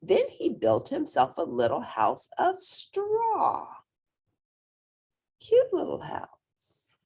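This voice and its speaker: A woman reading a children's story aloud expressively, with drawn-out emphasis and playful asides. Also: high voice, huge pitch swings, darkish tone.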